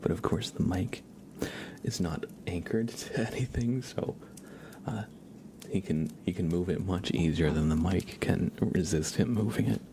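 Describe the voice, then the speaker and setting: A man speaks very softly and close to the microphone as he hosts an ASMR livestream. His voice is deep with a lot of creaky fry, often slipping into a breathy whisper. He rambles gently and casually to viewers, with a faint hiss behind him. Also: a little slow. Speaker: livestream host.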